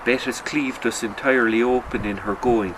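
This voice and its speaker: A man reading a literary short story in a sombre, measured storytelling manner. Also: medium pitch, fairly even intonation, background noise. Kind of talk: literary audiobook narration.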